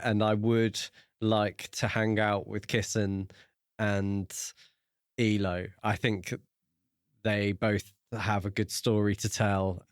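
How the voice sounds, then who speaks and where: A man co-hosting a podcast in casual book discussion, talking in a measured way with pauses mid-sentence. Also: fairly low pitch, no room sound, clean studio sound. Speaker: podcast co-host.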